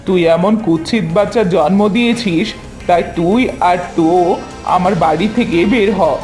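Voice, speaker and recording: Domineering cartoon fox father played by a man, high male voice, blunt, music underneath. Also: a little slow, darkish tone. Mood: angry, disgusted. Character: proud, harsh male fox husband and father. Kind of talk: animated fairy-tale character voice.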